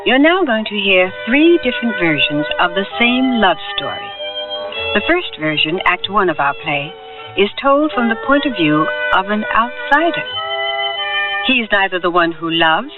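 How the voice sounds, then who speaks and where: Expressive woman radio host over loud music. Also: medium pitch, phone-quality sound. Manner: deliberate, explanatory. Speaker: radio drama host introducing the play. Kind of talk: scripted formal introduction.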